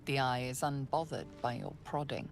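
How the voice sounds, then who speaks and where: A woman playing an ominous, measured storyteller, narrating a dark fantasy video game. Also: faint music behind. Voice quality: low, creaky. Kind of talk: scripted second-person game narration.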